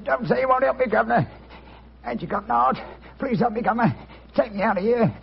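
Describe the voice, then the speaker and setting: Whining addict, high and gravelly, thin quaver, old radio play on a dull recording. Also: male voice, quick pace, slight background noise, full character voice. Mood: pleading. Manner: whining, begging. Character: wretched male opium addict, pleading. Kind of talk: old radio drama acting.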